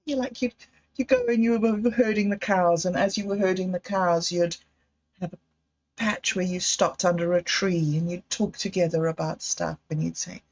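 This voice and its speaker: A woman hosting a livestream, talking slowly and candidly in a meandering personal monologue. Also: lowish voice, steady tone, darkish tone. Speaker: livestream host. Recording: dull lo-fi livestream audio.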